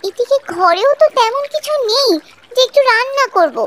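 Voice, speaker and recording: A woman's voice: very high voice, animated, moderate pace, slight background noise. A woman voicing a caring, dutiful young village girl in a cartoon, with a childlike, earnest manner. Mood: pensive, concerned. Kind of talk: Bengali cartoon voice acting. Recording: loud music behind the voice. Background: music.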